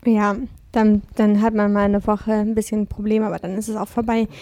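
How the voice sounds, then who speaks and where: Chatty young woman with a mellow voice. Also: mid-range voice, little pitch movement, a little fast, no room sound. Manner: chatty, laughs often, self-deprecating. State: slightly swollen cheeks after wisdom tooth removal. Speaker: podcast co-host. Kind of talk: casual chat.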